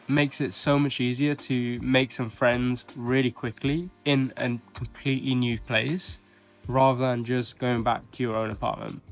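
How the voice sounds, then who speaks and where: A young man from England with a broad Birmingham accent talks casually to camera in a mid-range voice. He sounds chatty and upbeat, restarting sentences and tossing in loose asides as he goes. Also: mid-range voice. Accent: Birmingham English. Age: young adult. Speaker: vlogger and startup founder. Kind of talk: casual vlog talk to camera. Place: outdoors in a mild city.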